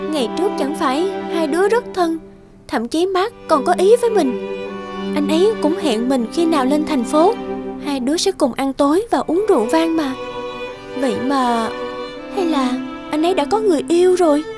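A woman's voice: high-pitched, animated, normal speed, music in the background. A woman voicing a sweet, hopeful young country woman in a cartoon drama. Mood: disappointed.

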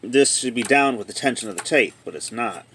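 A man giving casual, plainspoken commentary on a handheld video, thinking aloud in a halting way as a hobbyist showing a repair. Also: medium pitch, animated, a bit bright, a little hiss or hum. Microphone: handheld phone or camera.

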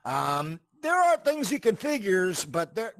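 A man's voice: high-pitched, wildly swooping pitch, measured pace, vocal fry, fairly bright tone, dry recording. A man hosting a casual game tutorial, rambling, repeating words and often checking in with the listener. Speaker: host of a video game tutorial channel. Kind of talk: casual spoken tutorial with asides.

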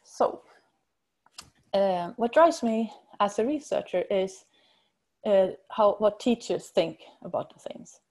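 A middle-aged woman with a Swedish accent giving a research presentation over video call, enthusiastic and halting as she searches for words. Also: medium pitch, animated, a little mellow. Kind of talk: conference presentation. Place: indoors at home or office, on video call.

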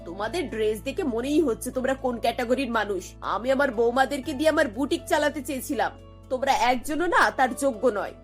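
A woman playing a high-pitched, haughty mother-in-law. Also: a little fast, faint music behind, lightly acted. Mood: scornful. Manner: scornful, bossy, drops English words. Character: haughty modern middle-aged mother-in-law, boutique owner, snobbish. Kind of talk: Bengali cartoon voice acting.

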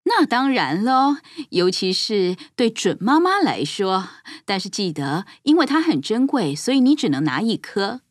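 A woman's voice: mid-range voice, big pitch swings, a little slow, hardly any pauses, no room sound, clean studio sound. A woman voicing a cruel, scheming witch in a children's fairy-tale cartoon, with a sly, theatrical delivery. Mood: falsely sweet. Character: cruel scheming witch, feigning sweet kindness.

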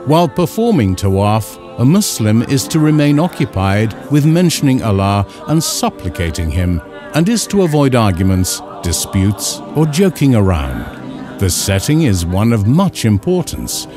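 A man reading scripted instructional narration in a measured, formal, carefully enunciated way. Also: medium pitch, big pitch swings, over music. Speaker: narrator of an educational film.

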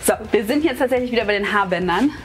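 A woman's voice: medium pitch, animated, fast. A young woman chatting casually and playfully while shopping, with an enthusiastic manner. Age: young adult. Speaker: vlog host. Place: inside a large drugstore. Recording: background music.

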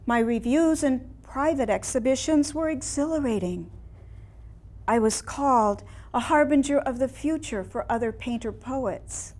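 A woman in her sixties playing an ailing, reflective elderly woman painter in a staged dramatic monologue, with theatrical, measured delivery and long pauses. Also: sits high, expressive, darkish tone. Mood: proud, wistful. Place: small indoor room before a seated audience.

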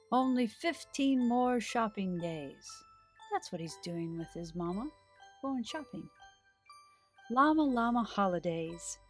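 A middle-aged woman hosting storytime for small children, with an exaggerated, sing-song delivery as she talks and reads aloud. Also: mid-range voice. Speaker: children's library storytime host. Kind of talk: children's storytime, talking to young viewers and reading aloud. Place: small decorated indoor storytime set.

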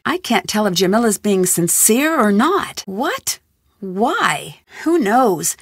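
A woman's voice: medium pitch, big pitch swings, slow pace, slightly rough, dry recording, heavily acted. An actress voicing a curious, wry young female amateur detective in a video game, with dry asides. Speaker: game protagonist. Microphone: close studio mic.

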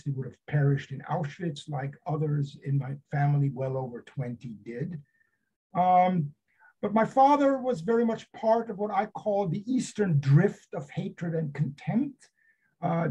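An older man from Romania giving a seminar talk about his memoir over a video call, pausing often with fillers. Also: on the high side, dark tone, slightly rough. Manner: hesitant, many fillers. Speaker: seminar speaker, author. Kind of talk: academic talk. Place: home study with bookshelves. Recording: dull lo-fi video call audio.

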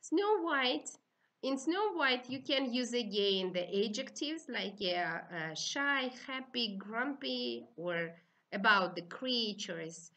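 A middle-aged woman with an Uzbek accent, lecturing to trainee teachers in simple, halting, instructive phrases. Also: fairly high pitch, expressive, pushed and bright. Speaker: university teaching-methods lecturer.

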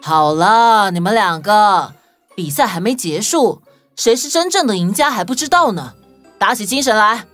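A woman's voice: medium pitch, animated, normal speed, a bit bright, no echo, natural voice. A woman playing a confident, reassuring young detective hero in a children's audio story. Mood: rallying. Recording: music bed behind voice.

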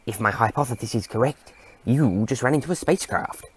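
A man playing an excitable, erudite old male scientist in an audio drama, with a fussy, courteous, delighted manner. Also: medium pitch, fairly quick, breathy edge, natural voice. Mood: pleased.

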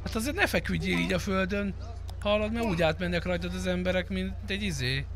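A man's voice: high voice, vocal fry, a bit bright. A man chatting casually while streaming a roleplay game, rambling and swearing crudely. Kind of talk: casual chat during gameplay. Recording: noisy, car engines and street ambience.

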